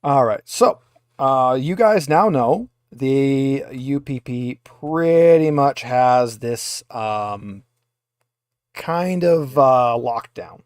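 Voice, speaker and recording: A man acting as game master, improvising narration for a tabletop game in a halting, informal way. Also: medium pitch, expressive, a little mellow, clean tone, dry recording, clean studio sound.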